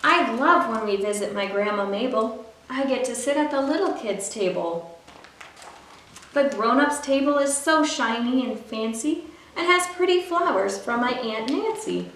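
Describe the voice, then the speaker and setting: A woman with a high voice reads a children's picture book aloud as a library storyteller. Her delivery is expressive and follows the rhymes. The recording is heavily echoey and sounds distant from the microphone. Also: unhurried. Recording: very echoey room, distant mic, faint noise.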